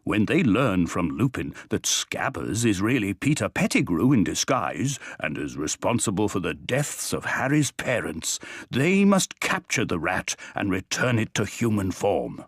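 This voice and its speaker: A man narrates a scripted game story in a fairly low, husky voice. His intonation is lively and varied. The recording is dry, with faint music behind him. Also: unhurried, hardly any pauses, no echo. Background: soft background music.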